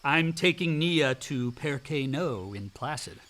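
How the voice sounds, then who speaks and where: An actor playing an outdoorsy, slightly self-doubting grown son with a casual, effusive manner in a naturalistic radio drama. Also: male voice, fairly high pitch, lively intonation, measured pace, fairly bright tone, slight background noise. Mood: upbeat, casual. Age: young adult.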